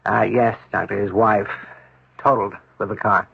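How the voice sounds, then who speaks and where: An actor playing a blunt, breezy, streetwise emergency doctor in a 1970s radio drama. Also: male voice, on the low side, steady tone, normal speed, creaky, slightly rough, full character voice. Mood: matter-of-fact. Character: brisk, slangy, blunt male emergency-room doctor. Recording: muffled old radio broadcast.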